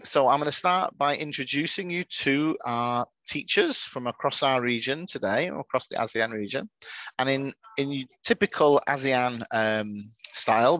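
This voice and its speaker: A man's voice: mid-range voice, fairly bright tone, no echo, poor audio. Chatty male webinar host, dry sound. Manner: friendly, chatty, with frequent restarts. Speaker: webinar moderator. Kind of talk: hosting an online webinar. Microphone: video call.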